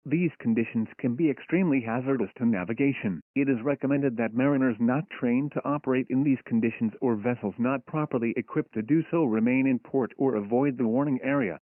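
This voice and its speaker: A synthetic male voice reading a scripted emergency weather bulletin in a formal, impersonal, measured way. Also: medium pitch, no room sound. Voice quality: synthetic text-to-speech voice. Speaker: automated weather alert announcer. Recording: tinny phone-like weather radio broadcast.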